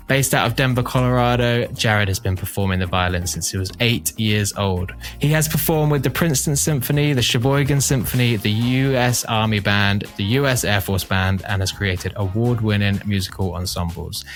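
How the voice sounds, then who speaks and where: A man hosting a podcast in a conversational way, his voice bunged up with hay fever. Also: on the low side. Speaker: podcast host.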